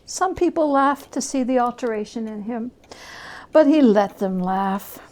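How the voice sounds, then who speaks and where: A woman narrates a classic story in a dramatic, expressive reading. Her voice sits a little high, and she takes her time over each phrase with deliberate, slow pacing. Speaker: story reader.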